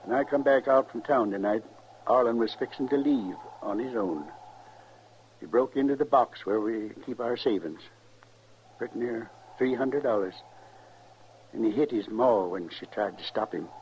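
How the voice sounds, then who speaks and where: An actor playing a grieving, worn older rancher father with a rural western American accent, halting and folksy, in a radio drama. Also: medium pitch, darkish tone, lightly acted. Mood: anguished. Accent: rural American West. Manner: folksy, halting, heavy-hearted. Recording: muffled, noisy old radio recording.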